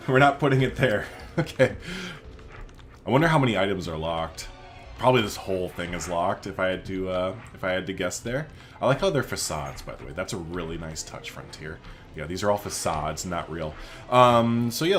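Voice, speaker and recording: Male gaming host, casual and chatty, lower register with lively intonation, thinking aloud over faint music. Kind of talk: casual let's play commentary.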